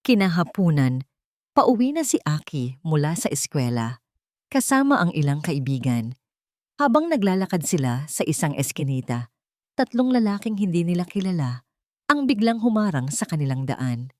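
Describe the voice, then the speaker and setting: A woman narrating a scripted dramatic story in a theatrical, expressive manner. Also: low voice, normal speed, no echo, clean studio sound.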